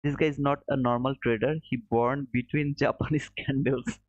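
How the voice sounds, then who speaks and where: Casual male livestream host, friendly and chatty, mid-pitched, on a dull dry mic. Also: fairly even intonation, moderate pace, dry recording. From Bangladesh. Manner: friendly and chatty, calls viewers brother, says boom. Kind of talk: casual live-stream commentary, reading viewer chat. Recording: dull, slightly muffled, dry.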